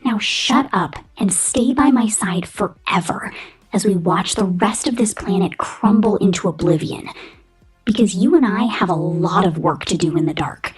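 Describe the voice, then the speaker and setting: A woman's voice: medium pitch, husky, faint music behind, low-quality recording. Woman acting cruel, domineering robot boss, close ASMR. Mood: menacing, possessive. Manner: commanding, corporate-pompous, deliberate. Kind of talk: ASMR roleplay monologue.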